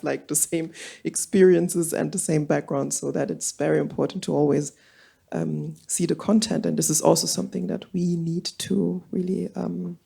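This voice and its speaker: A woman's voice: sits low, vocal fry, dark tone, husky. A woman from Germany speaking on a symposium panel, hesitant and searching for words, with many fillers. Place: auditorium.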